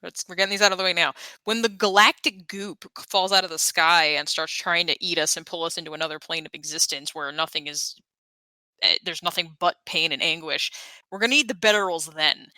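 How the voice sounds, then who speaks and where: Bright, slightly low-voiced woman gaming. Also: brisk, no room sound. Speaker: tabletop game player. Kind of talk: casual game chat.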